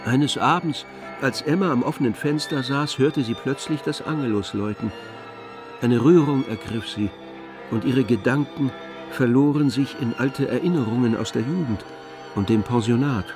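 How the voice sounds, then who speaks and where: A man narrating a literary German radio drama in a gravelly voice. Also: medium pitch, moderate pace. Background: faint music.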